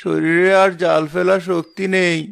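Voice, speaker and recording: A creaky old village fisherman , acted by a man, with a high-pitched voice. He is weary, plaintive and kind, in cartoon storybook style over music. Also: level tone, moderate pace, a little hiss or hum. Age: older. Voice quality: creaky, gravelly. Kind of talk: cartoon fairy-tale acting.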